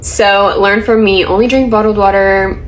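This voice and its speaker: A woman's voice: medium pitch, fairly even intonation, moderate pace, poor audio. A young woman sharing a personal experience in casual vlog chat. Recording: faint background ambient noise.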